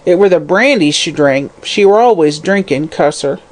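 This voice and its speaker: A narrator voicing a vicious, drunken old woman who croaks and snarls. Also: lowish voice, lively intonation, normal speed, lightly acted, rough recording. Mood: snarling. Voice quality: croaking, harsh. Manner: snarling, cursing.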